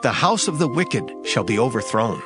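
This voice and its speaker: A man's voice: mid-range voice, sing-song, moderate pace. Solemn man reading scripture with swooping intonation. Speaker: scripture reader. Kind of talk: dramatized Bible reading. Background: music.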